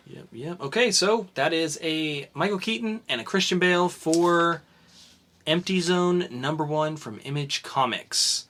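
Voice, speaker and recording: A man's voice: fairly high pitch, a little slow, fairly bright tone. A man co-hosting a casual comics podcast, chatting in an upbeat way as he runs through a list of upcoming releases. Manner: listing items in a chatty, upbeat way. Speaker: podcast co-host.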